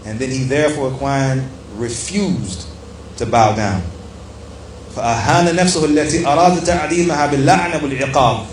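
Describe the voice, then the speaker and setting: A man's voice: medium pitch, very fast. A man giving an Islamic religious lecture as a teacher, explaining a text emphatically and repeating key phrases for stress as he addresses his listeners. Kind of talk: religious lecture, reading aloud from a book and explaining it. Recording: echoey room with faint background noise.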